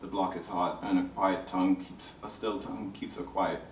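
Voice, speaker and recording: A man's voice: low voice, level tone, moderate pace. Bahamian man, roomy distant recording. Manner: reflective, often ends statements with "right?". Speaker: artist on a discussion panel. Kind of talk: personal storytelling to an audience. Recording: roomy, distant mic, faint noise.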